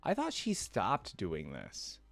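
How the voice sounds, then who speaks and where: A man's voice: low voice, wildly swooping pitch, takes it slowly, vocal fry, dry recording. A man hosting a reaction video, reading aloud and commenting in an informal, fan-like way.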